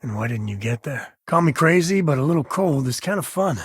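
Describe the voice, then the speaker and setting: A male actor playing an easygoing, wry and earnest spacefaring explorer in a video game, with a husky voice and casual, folksy delivery. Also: fairly high pitch, expressive, a little fast, no echo, studio quality. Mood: playful, upbeat. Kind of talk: scripted game character dialogue.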